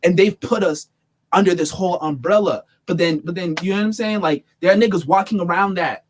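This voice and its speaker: A young man with a fairly high speaking voice hosts a casual podcast discussion. He talks in animated, emphatic bursts and often restarts and repeats words as he builds to a point. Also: a little fast. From United States. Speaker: podcast host.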